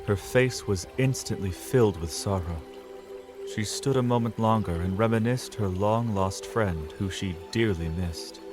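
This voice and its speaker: A man delivers scripted narration for a fantasy audio drama. His voice sits a little low, and prominent music plays behind him. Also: unhurried.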